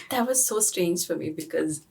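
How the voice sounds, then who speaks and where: A young woman from India, a student guest on a podcast, speaking in a relaxed way with frequent pauses. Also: fairly low pitch, vocal fry, slightly rough, rough recording. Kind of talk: casual podcast conversation.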